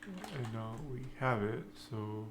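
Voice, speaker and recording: A man giving an unscripted coding tutorial, thinking aloud in halting, short fragments. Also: mid-range voice, lively intonation. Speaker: programming tutorial instructor. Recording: noisy with keyboard typing. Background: computer keyboard typing.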